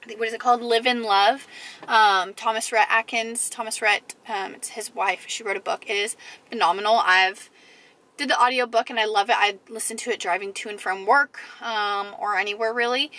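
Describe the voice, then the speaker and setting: Bright-voiced young woman, a bit hoarse. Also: on the high side, fairly even intonation, moderate pace. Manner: chatty, filler-heavy, enthusiastic. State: hoarse from a lingering cough. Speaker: lifestyle vlogger. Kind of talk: casual vlog chat to camera. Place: parked car, then in a large store and a parking lot. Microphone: camera on dashboard or handheld at arm's length.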